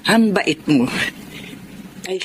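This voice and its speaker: A woman's voice: on the low side, animated, very slow, a little hiss or hum. An actress playing a grateful, chatty, generous businesswoman in a radio drama, effusive and motherly in manner. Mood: grateful, insistent.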